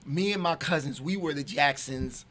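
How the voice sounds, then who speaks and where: A man's voice: a little high, moderate pace, fairly bright tone. An American man in his fifties, a singer, chats casually as a studio guest and tells stories, repeating phrases for emphasis. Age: 50s. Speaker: singer, guest in a married duo. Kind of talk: casual chat, storytelling. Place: radio studio.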